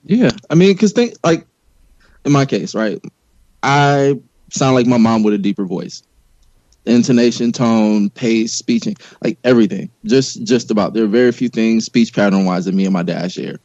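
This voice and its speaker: A man's voice: mid-range voice, normal speed, a little mellow, dry recording, highs cut off. A man talking in a loose, casual way as a guest on a podcast discussion.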